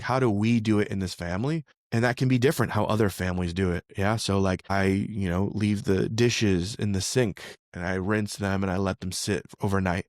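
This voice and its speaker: A man hosting a podcast, explaining ideas in a relaxed, conversational way and often checking in with "right?". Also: on the low side, no echo, studio quality. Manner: conversational, frequent "like" and "right?" tag questions. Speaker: podcast host. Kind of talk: casual explanatory talk. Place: living in Philadelphia.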